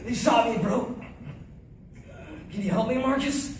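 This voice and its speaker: A man playing a badly wounded, dying soldier in a war monologue, speaking weakly and haltingly to his friend. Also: extremely high pitch. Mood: weak, pleading. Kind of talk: dramatized war monologue dialogue. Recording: echoey room, distant mic, faint noise.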